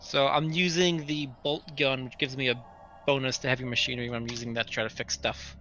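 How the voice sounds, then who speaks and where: A man's voice: medium pitch, flat delivery, a little fast, pushed and bright, soft background music. A man playing a tabletop roleplaying game, speaking politely and precisely as he reports his moves. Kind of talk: game chat about dice rolls.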